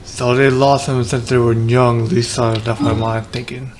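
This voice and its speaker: A man's voice: medium pitch, flat delivery, normal speed, background noise. A man streaming a game, giving casual, offhand commentary as if muttering to himself. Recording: game music behind the voice. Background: game music.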